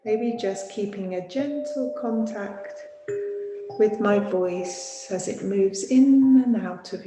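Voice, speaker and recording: A woman teaching yoga nidra, guiding a sleep meditation in a gentle, soothing, unhurried manner with long pauses. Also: mid-range voice. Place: small studio. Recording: roomy echo, music behind voice.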